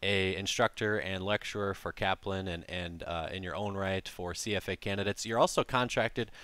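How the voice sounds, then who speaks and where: A male host asks careful, measured questions, laying out background before each one. His voice is low and smooth. The sound is dry and close, with no room in it. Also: fairly even intonation, a bit bright, no echo. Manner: measured, long framing questions. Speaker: host, interviewer. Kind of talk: interview questions.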